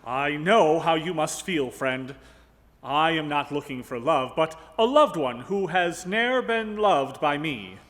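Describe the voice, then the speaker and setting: Man acting a high-voiced, melodramatic explorer hero. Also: animated, slow, a bit bright. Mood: earnest. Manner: theatrical, formal old-fashioned diction. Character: earnest, melodramatic French-Canadian explorer, orphaned young hero. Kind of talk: live stage radio play, mock-heroic comedy. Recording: reverberant ballroom stage.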